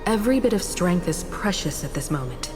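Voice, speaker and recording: A composed, kindly game leader, poised and courteous. Her voice sits fairly low for a woman, with loud music behind it. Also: sing-song, brisk. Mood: sincere, reassuring. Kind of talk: video game voice acting.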